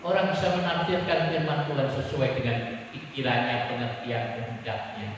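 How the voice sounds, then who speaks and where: A man preaching a Sunday sermon as pastor, telling stories and acting out voices with big jumps in pitch. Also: fairly even intonation, moderate pace, dull audio, slight background noise. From Kalimantan. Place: church hall. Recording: echoey church hall from far off.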